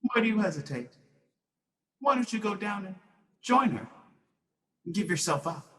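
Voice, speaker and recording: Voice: mid-range voice, animated, normal speed, sharp tone, a little hiss or hum. An actor playing a gloating, malevolent spirit in 1940s radio horror style, taunting and commanding. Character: gloating malevolent spirit haunting a tormented man.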